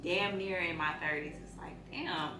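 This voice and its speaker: A woman's voice: lowish voice, steady tone, normal speed, background noise. A woman in her late twenties talking frankly in a casual chat, slangy and laid-back. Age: 20s. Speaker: guest. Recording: roomy sound from a distance with music.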